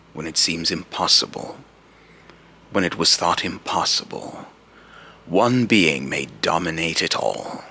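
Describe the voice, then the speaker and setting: A man voicing a menacing, scornful god of fear as a video game villain, pompous and theatrical, with a very deep, gravelly voice. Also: bright, forceful tone, exaggerated voice. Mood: grandiose.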